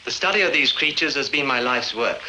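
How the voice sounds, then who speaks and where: A man voicing a self-assured, learned vampire scholar in a period radio drama. He lectures with authority in a very bright, lively voice, with faint ambience behind him. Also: mid-range voice, brisk, a little hiss or hum, rough recording. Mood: lecturing.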